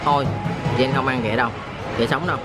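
A man's voice: medium pitch, steady tone, fast, loud music behind the voice. A man in his thirties with a Southern Vietnamese accent, a food vlogger talking casually and playfully to viewers while he eats, filmed on a handheld camera at arm's length in a large indoor buffet. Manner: chatty, playful, talks to viewers while eating. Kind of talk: casual food review vlog.

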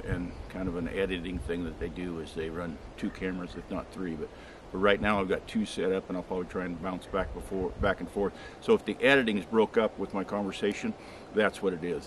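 An older man with a low, deep voice talks to the camera in a forest clearing, rambling and restarting his sentences. The camera mic sits a few meters off, and the outdoor audio is noisy. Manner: rambling, halting with many fillers and restarts. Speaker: homestead channel host. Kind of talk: casual vlog talk to camera. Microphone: camera mic from a few meters away.

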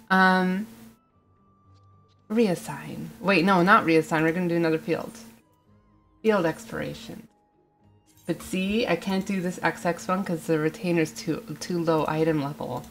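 A woman streamer chatting casually while playing, rambling and thinking aloud with lots of ums and small laughs, a bit sniffly. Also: fairly low pitch. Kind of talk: casual gaming livestream chat.

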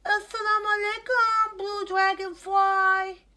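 A woman voicing a gentle young male hippo who is polite and sad, in a high, strained voice. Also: flat delivery, slow pace, heavily acted. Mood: puzzled.

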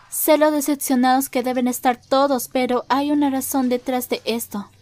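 A woman voicing a composed female animal military envoy in an animated fan dub, formal and measured. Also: high voice, lightly acted.

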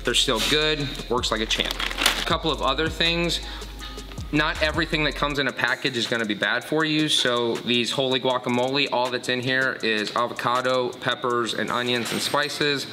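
A man's voice: medium pitch, normal speed, over music. Upbeat man in his thirties coaching. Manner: upbeat, listing tips in short phrases. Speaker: fitness coach. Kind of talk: casual instructional talk. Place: gym, indoors, at a counter.

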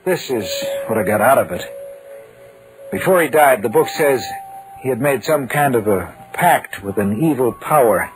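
An actor in old-time radio suspense playing a serious, uneasy man who tells his eerie tale in a measured, ominous way. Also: medium pitch, animated, soft background music, lightly acted. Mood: grave, intrigued. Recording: noisy, hissy 1940s radio recording.

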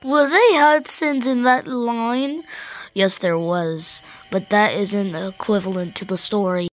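A female storyteller with a halting, stammering delivery, improvising a tale for a train cartoon. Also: mid-range voice, rough recording. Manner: halting, stammering, repeats and restarts words. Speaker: storyteller and host of a hobby cartoon series.

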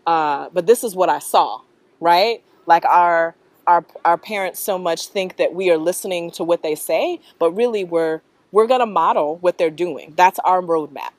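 Middle-aged American woman, lowish voice, thoughtful and halting interviewee, dry close sound. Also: no room sound. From North Carolina, US. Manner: thoughtful, halting, often checks in with "right?". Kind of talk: reflective personal interview answers. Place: outdoors in a leafy park.

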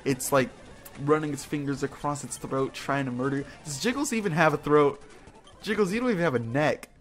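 A man with a fairly high voice gives casual, playful commentary on a video game. He rambles in slangy phrases and often repeats himself. Music plays behind him. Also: fairly quick. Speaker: host of a video game playthrough.

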